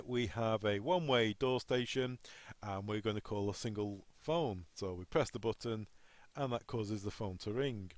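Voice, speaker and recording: A man's voice: lowish voice, no echo. A man working as a technical trainer, explaining equipment step by step in an instructional walkthrough.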